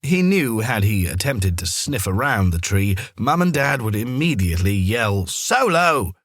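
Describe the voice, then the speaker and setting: Guy reading a kids story, really animated pitch, dry close sound. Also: normal speed, no room sound. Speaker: story narrator. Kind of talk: expressive scripted children's storytelling.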